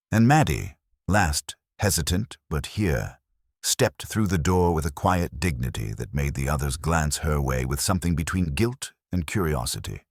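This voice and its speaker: A male narrator reads a vintage detective story in the first person with a wry, measured delivery. His voice sits very low but moves expressively, leaning into key phrases. The sound is close and dry. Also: no room sound.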